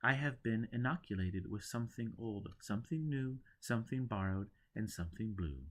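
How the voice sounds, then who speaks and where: A man's voice: fairly low pitch, expressive, normal speed. A man voicing a proud, devoted young bride from a science cult, making solemn declarations.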